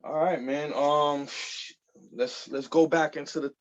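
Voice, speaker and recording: A man's voice: fairly high pitch, fairly even intonation, measured pace, muffled recording. A man in his thirties from the Bronx, chatting loosely as an interview guest, full of fillers and casual swearing. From the Bronx, New York. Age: 30s. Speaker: guest artist.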